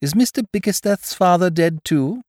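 A man reading aloud, voicing a cheerful young gentleman about town who speaks in a breezy, jaunty way. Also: high-pitched, lively intonation, moderate pace, dry recording, studio quality, voice pushed up.

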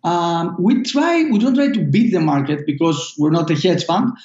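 A Greek-accented man with a high voice answers questions haltingly, stopping and restarting his sentences. His voice sounds roomy and echoey. The recording is dull and muffled. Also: lively intonation, darkish tone. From Greece. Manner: halting, with many fillers and restarts. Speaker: startup founder guest. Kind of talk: casual interview answers.

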